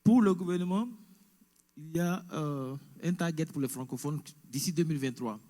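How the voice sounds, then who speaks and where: A man's voice: on the high side, moderate pace, dark tone. Man presenting in an echoey hall. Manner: explains step by step, mixes English words into French. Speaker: immigration presenter. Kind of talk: information session presentation.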